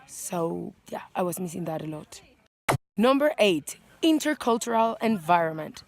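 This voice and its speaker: A woman's voice: on the low side, very animated, normal speed. A woman from Venezuela with a Venezuelan Spanish accent, chatting casually and emphatically as a vlog host working through a list. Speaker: vlogger and channel host.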